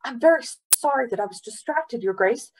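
A woman puts on an earnest young girl from a storybook. The voice rises in pitch, hesitant and embarrassed as she apologises politely. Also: animated, fairly quick, a little hiss or hum, rough recording. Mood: flustered. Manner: hesitant, flustered.

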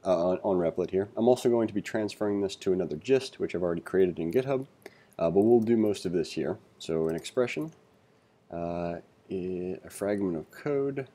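A man giving a read-along coding tutorial, reading aloud and thinking out loud with informal asides. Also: deep voice, moderate pace. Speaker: instructor.

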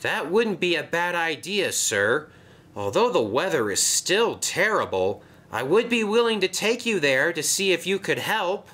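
An eager, helpful young tank engine, voiced by a man for a storybook. He speaks earnestly and unhurriedly, with a bright voice that swings widely in pitch. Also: very animated, measured pace, full character voice.

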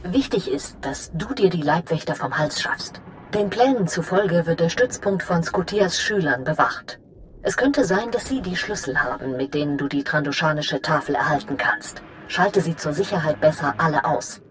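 A woman playing a scheming, imperious female Sith lord in a German game dub, commanding and deliberate. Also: low voice, animated, slight background noise. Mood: calculating, commanding.

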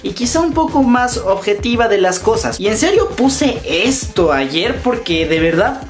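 A man's voice: high voice, big pitch swings, measured pace. Young Mexican man vlogging over music. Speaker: YouTuber. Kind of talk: casual fan commentary, reading comments aloud. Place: small room indoors. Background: background music.

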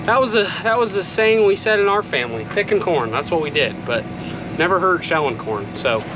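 Young male farm vlogger, high-pitched and easygoing, filmed at arm's length outdoors; loud engine noise behind. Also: expressive, fairly quick. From Iowa, USA. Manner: joking, easygoing, self-explaining. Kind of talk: casual vlog to camera. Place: outdoors in a harvested cornfield beside machinery. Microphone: camera held at arm's length.

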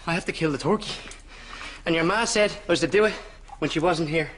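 An actor playing a reluctant, soft-hearted, hapless young man with an Irish accent in a sitcom. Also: fairly high pitch, brisk, background noise, lightly acted. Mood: reluctant, nervous. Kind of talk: sitcom acting.

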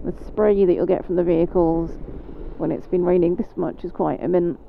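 Calm British woman riding, tinny helmet mic. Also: lowish voice, creaky. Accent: British English. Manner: calm, measured, talks through each riding step. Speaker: motorcycle instructor. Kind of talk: instructional commentary while riding. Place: on a motorcycle on wet roads in rain. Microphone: helmet mic while riding. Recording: phone-like helmet mic, road and engine noise.

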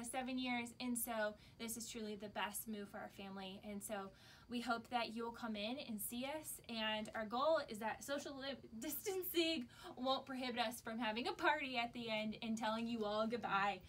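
American woman in her 30s, slightly high voice, chatty store update, bit of background noise. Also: moderate pace, fairly bright tone. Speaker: small shop owner. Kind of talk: casual video announcement to customers. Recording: background noise, camera from a distance.

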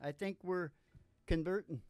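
A man's voice: high-pitched, a little slow, clean tone, no room sound, clean studio sound. An older man hosting with casual comic banter in a folksy, joking way, speaking into a stage microphone. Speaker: host and musician. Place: small curtained studio stage. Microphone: stage microphone on stand. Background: acoustic guitar.

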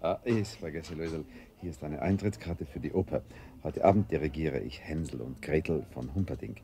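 An actor playing a fond, busy Viennese conductor father in a radio play, with an Austrian accent and a gravelly, husky voice. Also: rumbling low, normal speed, dark tone. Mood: fond, bustling. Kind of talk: German radio play acting.

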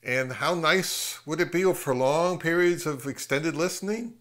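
A man's voice: fairly high pitch, measured pace, a bit bright. A man, giving a conversational product review as an audio gear reviewer.

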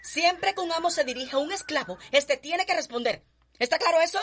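An actress playing a haughty, headstrong young planter's daughter in a radio drama, with a Cuban accent and an imperious, cutting manner. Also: female voice, very high-pitched, lively intonation, brisk, a bit bright. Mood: imperious, irritated.

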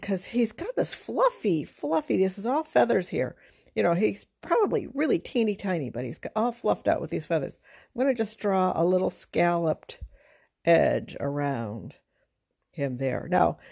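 A middle-aged woman giving an informal drawing lesson, thinking aloud in a halting, unhurried, conversational way as she works. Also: fairly low pitch, creaky, warm tone, husky. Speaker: art teacher. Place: indoors at a drawing table.

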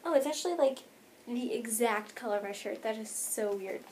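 A woman's voice: fairly high pitch, fairly quick, darkish tone, slight background noise. A teenage girl with an American accent chatting casually to a camera in a bedroom, rambling and saying "like" often. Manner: chatty, rambling, filler-heavy with frequent "like". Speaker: vlogger. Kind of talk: casual chat to camera, showing bag contents. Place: bedroom, indoors.

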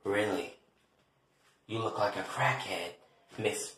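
A man voicing a cool, contemptuous woman who talks softly and measuredly in a comedy skit. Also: a little hiss or hum. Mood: disdainful. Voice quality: soft, hushed, low. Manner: measured, icy, disdainful. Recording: very echoey, reverberant room.

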